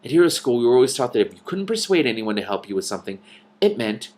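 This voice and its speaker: A man's voice: medium pitch, sing-song, quick pace, darkish tone, slight background noise, natural voice. A man voicing a diffident stone prince in a storybook, speaking earnestly and a little unsurely.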